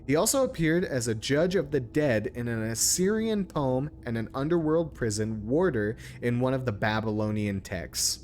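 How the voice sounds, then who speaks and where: A man's voice: medium pitch, lively intonation, normal speed, few pauses, darkish tone, a little hiss or hum. A man streaming a game, commenting casually and reading text aloud in an informal, sometimes stumbling way.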